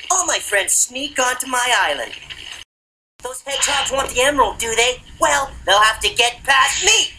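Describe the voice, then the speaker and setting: A man voicing a gruff, territorial echidna island guardian in a cartoon, defiant and forceful. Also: extremely high pitch, a little hiss or hum, full character voice, poor audio. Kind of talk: animated cartoon acting.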